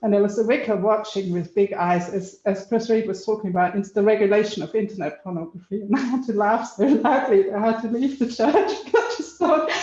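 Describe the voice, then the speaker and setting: A woman's voice: mid-range voice, a little mellow, muffled recording. Woman telling a story over a roomy video call. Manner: amused storytelling, laughing. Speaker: law professor.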